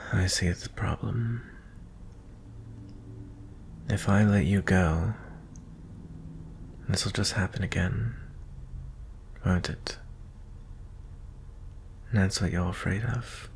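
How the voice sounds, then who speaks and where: A man playing a gentle, merciful young prince in an intimate ASMR fantasy roleplay, soft-spoken and hesitant, often slipping into whispers. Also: sits low, creaky, slight background noise, exaggerated voice. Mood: concerned, hesitant. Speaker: audio roleplay performer.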